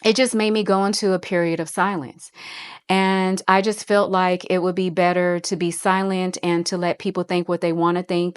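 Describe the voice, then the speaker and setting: A woman's voice: on the low side, clear, resonant tone. A woman in her forties talking to viewers in an earnest, reflective way, often going back to repeat a phrase. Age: 40s. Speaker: host. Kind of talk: personal motivational talk.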